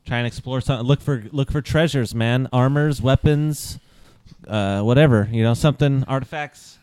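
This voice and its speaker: A man's voice: mid-range voice, moderate pace, a little mellow, clean tone, no room sound. Blustery man playing a tabletop game. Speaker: player. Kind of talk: casual tabletop play.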